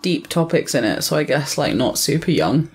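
A woman's voice: low voice, very animated, moderate pace, darkish tone, slight background noise. A young woman co-hosting a podcast, chatting casually about films. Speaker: podcast co-host. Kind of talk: casual film review chat.

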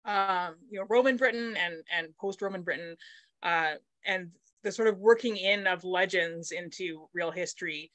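A woman's voice: on the low side, normal speed, a bit bright, dry recording. A middle-aged woman from Manitoba, chatting on a video call from her home study, thinking aloud with many fillers and restarts. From Manitoba, Canada. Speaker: author guest. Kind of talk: casual conversation on a video call. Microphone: laptop webcam mic on video call.

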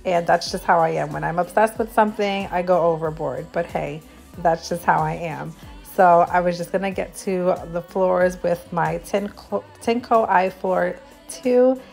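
Lower-voiced mom doing a chatty cleaning voice-over, soft music behind. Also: female voice, measured pace, vocal fry. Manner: chatty, upbeat product talk with fillers. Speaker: vlogger, stay-at-home mom. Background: faint background music.

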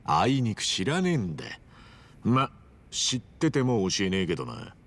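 A man's voice: fairly low pitch, wildly swooping pitch, moderate pace, noisy background. A man playing a brash, good-hearted hero in a Japanese game dub, talking bluntly and informally. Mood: guarded, blunt. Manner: blunt, informal, rough-edged speech.

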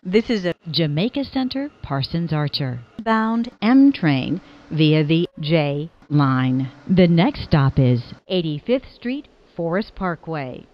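Woman doing subway style announcements, real slow and formal, pitch swings around, kinda muffled recording. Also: very animated. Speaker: transit announcer. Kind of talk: scripted train station announcements.

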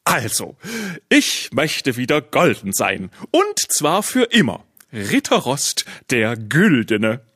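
A man's voice: mid-range voice, huge pitch swings, slow, a bit bright, husky, dry recording, studio quality, full character voice. A man playing a vain, bossy, greedy robot knight in a children's radio play, pompous and demanding. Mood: boastful.

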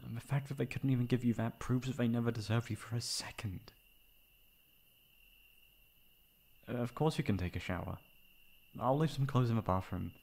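A man playing a caring, protective young best friend in an intimate ASMR roleplay, with a soft, breathy voice. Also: medium pitch, fast. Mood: tender, comforting. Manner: intimate, comforting, casual. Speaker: voice actor.

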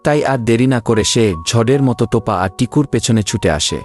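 A man's voice: medium pitch, fairly quick, darkish tone, faint music behind. A man narrating a scripted children's story.

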